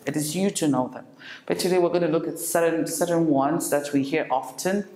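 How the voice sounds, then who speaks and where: A woman teaching an English lesson, explaining step by step and repeating example words slowly for learners. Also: sits low, mellow, a little hiss or hum. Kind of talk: recorded classroom lesson. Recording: echoey room.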